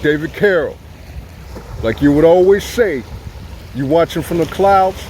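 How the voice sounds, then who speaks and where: A man from Southern California with a Southern Californian accent, filming himself outdoors by the beach and talking casually in a relaxed, rambling way that circles back on itself. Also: on the high side, measured pace, a little hiss or hum. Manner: relaxed, rambling, repeats himself, chuckles often. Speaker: vlogger. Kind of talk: casual tribute vlog. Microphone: phone or camera filming himself.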